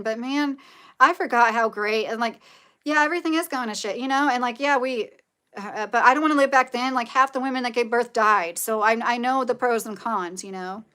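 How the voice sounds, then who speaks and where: Lady w a nasal voice, kinda high, rambly opinionated livestream chatter. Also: pushed and bright. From Kentucky, United States. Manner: chatty, rambling, opinionated, many fillers like "like" and "you know". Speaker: livestream host reading viewer comments. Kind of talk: casual livestream chat.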